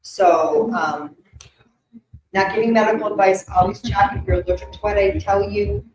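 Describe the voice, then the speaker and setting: A woman's voice: mid-range voice, steady tone, normal speed, darkish tone, lo-fi sound, a little hiss or hum. A woman giving intuitive readings to a live audience, chatty and rambling, with many fillers and asides. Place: event room with an audience. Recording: echoey room.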